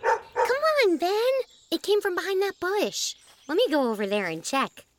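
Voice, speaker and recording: A female performer playing a bold, sassy, adventurous young girl in a children's audio drama, excitable and teasing. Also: extremely high pitch, normal speed, heavy background noise. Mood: excited.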